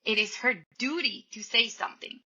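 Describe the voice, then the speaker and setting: Woman from Colombia, podcast guest, very bright tone, dull lo-fi sound, reflective explaining. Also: fairly high pitch, normal speed, slightly rough. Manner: thoughtful, explanatory, quotes people in little voices. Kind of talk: reflective conversational storytelling.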